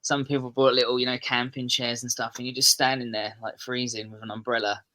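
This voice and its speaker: A man's voice: medium pitch, flat delivery, a little fast, pushed and bright, a little hiss or hum. A man from southeast England with an English accent, a podcast guest chatting casually in a rambling, self-deprecating way that keeps restarting sentences. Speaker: podcast guest, metal musician.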